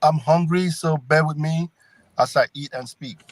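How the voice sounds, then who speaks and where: A man's voice: on the high side, moderate pace, low-quality recording. A middle-aged man with a Cameroonian accent, speaking conversationally as a political candidate in an interview. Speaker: congressional candidate, guest.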